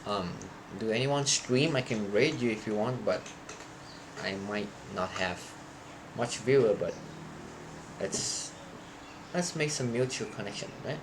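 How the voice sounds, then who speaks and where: A man's voice: fairly low pitch, background noise. Rambling young man streaming code. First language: Thai. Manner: rambling, halting, restarts and searches for words. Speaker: programming live streamer. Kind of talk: casual stream chat to viewers.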